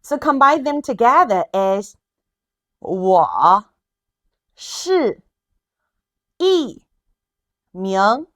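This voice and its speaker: A woman language teacher giving an instructional lesson, drilling phrases with deliberate, clearly separated syllables. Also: fairly high pitch, big pitch swings, fairly bright tone.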